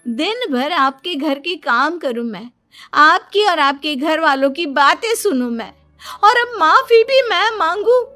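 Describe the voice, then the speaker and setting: A woman's voice: extremely high pitch, animated, slow, pushed and bright, soft background music, voice pushed up, heavily acted. A woman voicing a self-pitying young daughter-in-law in a cartoon moral story, with a whiny, dramatic, aggrieved manner. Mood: indignant.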